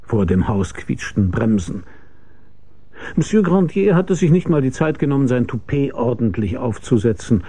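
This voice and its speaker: Hard-boiled radio drama lead: cynical investigator, husky and creaky, laconic, dry delivery. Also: male voice, mid-range voice, lively intonation, moderate pace, warm tone. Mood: dry narration. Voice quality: gravelly, husky. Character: world-weary, cynical male private investigator. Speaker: narrator and lead character.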